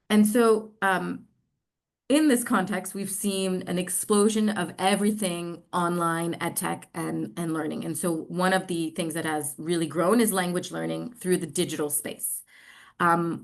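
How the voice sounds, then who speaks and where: A middle-aged American woman from New York giving an online presentation, speaking haltingly with frequent pauses. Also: on the low side, steady tone, creaky. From New York, United States. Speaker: social enterprise representative presenting.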